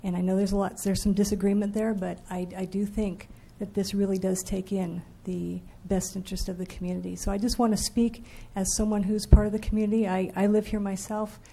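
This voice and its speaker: Woman with a dark, gravelly voice. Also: medium pitch, little pitch movement, fairly quick, hardly any pauses. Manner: earnest and hesitant, with repeated ums. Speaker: local resident giving public comment. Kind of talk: public comment speech. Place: council chamber.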